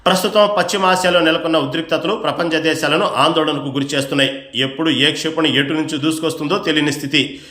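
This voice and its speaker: Echoey, higher-pitched male news narrator. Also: fairly even intonation, fast, bright, forceful tone, faint music behind.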